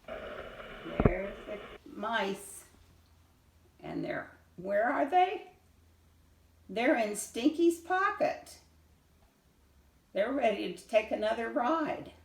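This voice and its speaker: Animated woman reading a storybook, roomy sound. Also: a little high, gravelly. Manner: pauses to point out pictures. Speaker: children's read-aloud reader. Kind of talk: expressive storybook narration. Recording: roomy echo, distant microphone.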